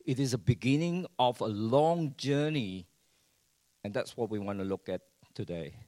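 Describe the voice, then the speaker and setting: A man preaching a sermon in English. He swings between hushed lines and loud ones and keeps asking the congregation rhetorical questions. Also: mid-range voice, expressive, normal speed. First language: Chinese.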